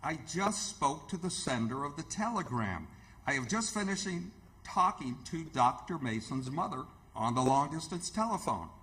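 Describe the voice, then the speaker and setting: An actor playing an insistent, alarmed doctor, emphatic and confronting, in old-time radio theatre. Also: male voice, a little high, very animated, normal speed, pushed and bright. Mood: accusing. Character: urgent, insistent, alarmed male hospital doctor.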